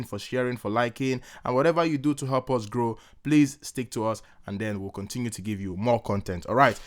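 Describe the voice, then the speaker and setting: A man hosting a casual sports podcast, upbeat and chatty with playful teasing. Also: mid-range voice. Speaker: podcast host.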